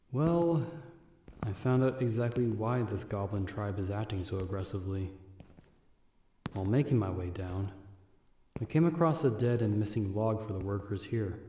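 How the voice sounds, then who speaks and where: A man playing a calm, seasoned vampire monster hunter in an ASMR audio roleplay, guiding a novice in a measured, instructive, reassuring way. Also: lowish voice, natural voice. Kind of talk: ASMR audio roleplay, speaking directly to the listener. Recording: echoey room with faint hiss.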